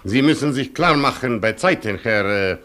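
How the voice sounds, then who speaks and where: An actor in a German radio play playing a haughty, stubborn cavalry colonel with a husky voice and a pompous, clipped, condescending delivery. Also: male voice, mid-range voice, animated, moderate pace, fairly bright tone, close to an everyday voice. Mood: imperious.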